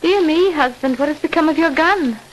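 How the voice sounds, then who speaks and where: A woman's voice: high-pitched, fairly quick, lightly acted. A woman playing a secretive, falsely sweet stepmother in a 1940s radio horror drama. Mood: feigned alarm. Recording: crackly old radio recording.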